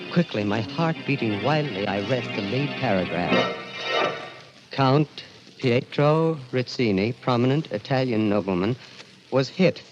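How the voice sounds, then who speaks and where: A haunted man played by an actor narrates his own grim confession in old radio thriller style, intense and dramatic at a medium pitch. Music plays clearly behind him on a dull vintage recording. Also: natural voice. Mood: shaken, urgent. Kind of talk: 1940s radio acting, first-person narration.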